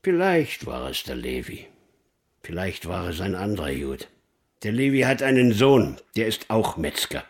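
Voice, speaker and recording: An actor playing a stern, suspicious master butcher in a radio play, curt and insinuating. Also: male voice, deep voice, big pitch swings, moderate pace. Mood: accusing. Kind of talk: German radio play acting.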